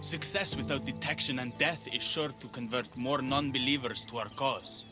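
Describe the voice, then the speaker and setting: A man's voice: mid-range voice, normal speed, loud music behind the voice, natural voice. A man playing an earnest, self-doubting rebel leader with a sorrowful streak in a video game. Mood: purposeful. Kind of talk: video game cutscene acting.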